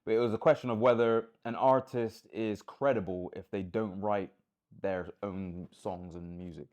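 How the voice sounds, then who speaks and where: A man co-hosting a podcast in casual chat, eager and quick to agree. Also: fairly low pitch, steady tone, a little mellow. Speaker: podcast co-host.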